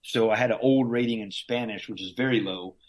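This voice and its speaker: A man's voice: fairly low pitch, a little fast, low-quality recording. A middle-aged man from Southern Louisiana telling stories as an interview guest, with many restarts and chuckles. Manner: rambling, frequent restarts and laughs. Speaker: interview guest, Green Beret veteran. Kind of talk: casual conversational storytelling.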